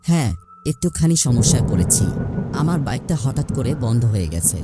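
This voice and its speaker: A man's voice: medium pitch, sing-song, brisk, heavy background noise, close to an everyday voice. A man playing a worried older brother in a horror cartoon, with music and effects underneath. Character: anxious older brother stranded alone at night. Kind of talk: dubbed cartoon horror dialogue.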